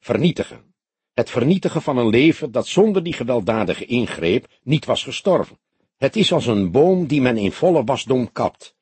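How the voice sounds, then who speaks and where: A man voicing an old police detective in an audiobook, solemn, philosophical and gentle, speaking in a grave, measured, lecturing way. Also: medium pitch, very animated, slightly rough, dry recording, voice pushed up. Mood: earnest. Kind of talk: audiobook character dialogue.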